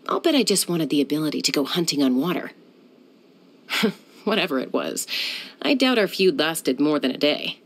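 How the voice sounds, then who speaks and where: An actress voicing a cool, confident young woman who is a sky-hunter and peacemaker in a video game, speaking in a calm, composed, measured way. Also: sits low, slightly rough, exaggerated voice. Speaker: game character.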